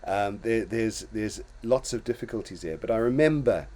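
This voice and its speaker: A man's voice: on the low side, normal speed, darkish tone. An older man from Zimbabwe hosting a casual talk show, reflecting on his own life in a storytelling way with many restarts and small chuckles. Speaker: host.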